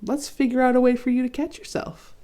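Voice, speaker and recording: A woman's voice: sits high, little pitch movement, brisk, mellow, voice pushed up, close to an everyday voice. A woman voicing a kind, eager young female leaf who helps her friend, in a children's storybook. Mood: encouraging. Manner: eager, encouraging.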